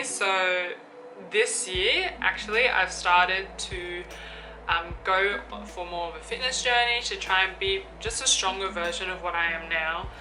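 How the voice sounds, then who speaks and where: Slow-talking young woman. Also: medium pitch, bright, forceful tone, low-quality recording. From Australia. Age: 20s. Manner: chatty, thinking aloud with fillers. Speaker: YouTuber. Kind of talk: casual Q&A vlog. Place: room with an open window. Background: background music.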